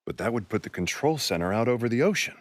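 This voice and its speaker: A man playing a steady, loyal adult adventurer in a game, with an easygoing, dry manner. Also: mid-range voice, quick pace, dry recording, close to an everyday voice. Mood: doubtful, supportive.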